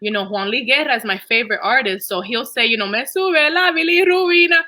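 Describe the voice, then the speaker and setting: Bright woman moderating a panel. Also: on the high side, brisk. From Dominican Republic. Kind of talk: casual panel hosting and personal storytelling.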